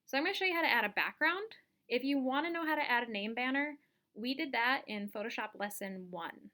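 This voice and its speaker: A woman giving a casual step-by-step software tutorial, thinking aloud as she demonstrates each action. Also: a little high. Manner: informal, instructional, thinking aloud while demonstrating. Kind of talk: casual step-by-step screen-recorded lesson.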